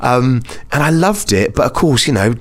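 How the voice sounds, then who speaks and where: A man's voice: medium pitch, lively intonation, normal speed. A British man hosting a podcast, talking in a chatty, informal and emphatic way. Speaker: podcast host. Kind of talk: casual podcast chat.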